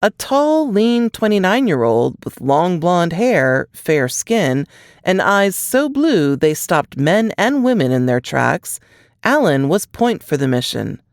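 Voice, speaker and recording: Animated woman narrating, very dry sound. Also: on the low side, slow pace, no room sound. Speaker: audiobook narrator. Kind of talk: scripted fiction narration.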